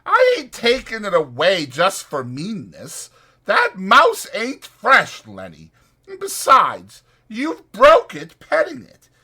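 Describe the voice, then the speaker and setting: A man voicing an irritable, bossy, sharp-tongued migrant farmhand for an audiobook, with brusque, exasperated, rustic speech. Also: high-pitched, wildly swooping pitch, slow, breathy edge, voice pushed up, full character voice. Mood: relenting.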